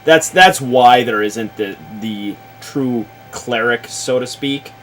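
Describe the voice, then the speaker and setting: A man talking casually about tabletop games in a deliberate, halting, explanatory way, as if advising another player. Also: mid-range voice, fairly bright tone, a little hiss or hum, rough recording. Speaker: show host, experienced game master advising.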